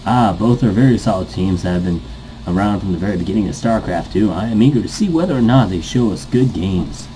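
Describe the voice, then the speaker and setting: A man's voice: fairly low pitch, expressive, brisk, warm tone, a little hiss or hum, close to an everyday voice, poor audio. A man voicing a formal, earnest esports match commentator in a video game, with stiff announcer phrasing. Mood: formal, upbeat.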